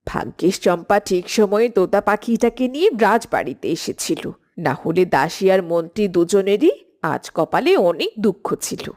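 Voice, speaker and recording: A woman's voice: mid-range voice, sing-song, moderate pace, darkish tone, natural voice. A woman voicing a stern, regal queen mother in a cartoon, speaking in a formal, authoritative manner. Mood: relieved.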